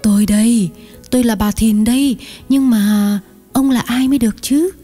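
Older village aunt for audio story, played by a woman: mellow, higher voice, chatty, pitying, kind. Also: fairly even intonation, normal speed, faint music behind, natural voice. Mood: startled. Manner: exclaiming, chatty, sighing over misfortunes. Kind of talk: acted dialogue in an audio story.

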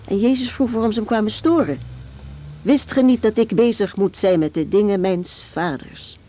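A woman's voice: mid-range voice, moderate pace, warm tone, lightly acted. An actress in a Dutch radio drama playing a curt, stubborn working mother who defiantly guards her son. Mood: proud, defiant. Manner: brusque, stubborn, dismissive.

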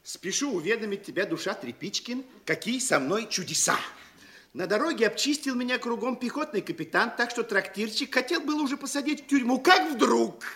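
An actor playing a nosy, excitable provincial postmaster in a classic comedy audio play, savoring each phrase theatrically. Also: male voice, very high voice, a little fast, exaggerated voice. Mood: mocking relish.

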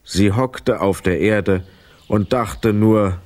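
Low-pitched man narrating a children's story. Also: takes it slowly, darkish tone. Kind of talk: scripted narration of a children's radio play.

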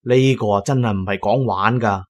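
A man narrating an adventure audio drama in a colloquial, expressive first-person style. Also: fairly low pitch, normal speed. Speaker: storyteller, narrator.

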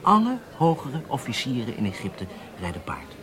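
A man's voice: mid-range voice, huge pitch swings, normal speed, fairly bright tone. An actor in a Dutch radio drama playing a self-assured, impatient undercover spy, speaking emphatically as if lecturing his handlers. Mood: assured, persuasive.

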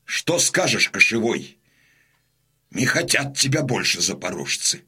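A man's voice: on the low side, sing-song, unhurried, sharp tone, breathy edge, exaggerated voice. An actor playing a bold Cossack man who goads a crowd toward war in a declamatory audio drama style. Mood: commanding. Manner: declamatory, goading. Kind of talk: Russian audio drama acting.

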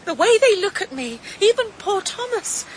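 A fragile, anxious young woman in a radio play, who speaks softly and at times in a whisper. Her voice is high. Clear outdoor ambience sounds behind her. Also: big pitch swings, moderate pace, a little hiss or hum, rough recording. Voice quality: soft and fragile. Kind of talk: radio drama acting.

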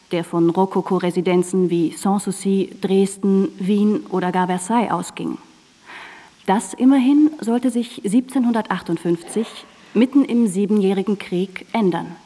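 A woman's voice: mid-range voice, dark tone, long reverb tail. A woman reads scripted narration for a radio drama in a composed, formal and precise manner.

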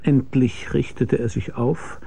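An actor playing a cynical, world-weary investigator who narrates a radio drama in a dry, laconic, husky voice. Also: male voice, mid-range voice, fairly even intonation, slow, mellow, full character voice. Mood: dry narration. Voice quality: gravelly, husky. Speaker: narrator and lead character. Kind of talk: hard-boiled radio drama narration and dialogue.

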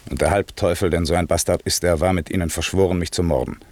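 A man playing a dignified, commanding aging duke and magician in a classic radio play, speaking verse in a measured, stately way. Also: deep voice, steady tone, a little mellow, dry recording. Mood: stern.

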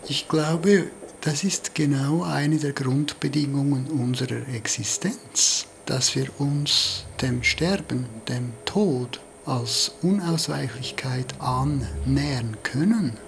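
A man speaking reflectively and unscripted, in halting, thoughtful phrases. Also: mid-range voice, vocal fry, slight background noise.

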